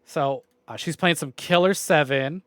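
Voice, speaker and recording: A man with a fairly high-pitched voice talks casually to his livestream viewers. His delivery is rambling and informal, with loose phrasing and the odd mild swear. The sound is dry, with no room echo. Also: unhurried, a bit bright. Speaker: video game livestreamer. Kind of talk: casual chatty stream sign-off to viewers.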